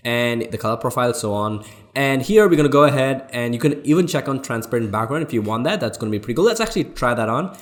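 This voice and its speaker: A man with an Indian accent giving a casual software tutorial, explaining things informally step by step to his viewers. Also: medium pitch. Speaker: tutorial host, designer.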